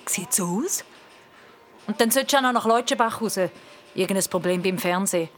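Blunt, fast-talking Swiss office woman, acted. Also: medium pitch, lightly acted. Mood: snippy. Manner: cheeky, unimpressed, judgmental. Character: blunt, snippy female office worker at trade firm. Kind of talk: naturalistic radio play acting.

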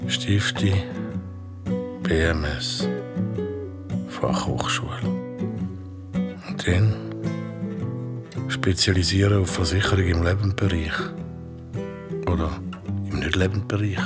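An actor playing an indecisive man musing haltingly over his options in a radio play. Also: sits low. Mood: pensive, undecided. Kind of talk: inner-monologue radio-play acting. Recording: loud guitar music over voice. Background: plucked guitar music.

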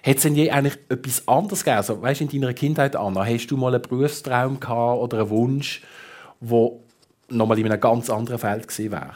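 A man with a Swiss German accent and a husky voice, hosting a conversational radio interview. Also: medium pitch, fast, darkish tone. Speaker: radio talk show host.

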